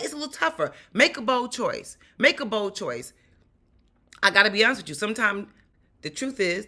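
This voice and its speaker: Woman, 40s, New York accent, raspy and bright-toned; animated acting coach speaking straight to camera. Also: lowish voice. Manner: animated, emphatic, repeats key points. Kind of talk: direct-to-camera acting advice. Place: small room at home.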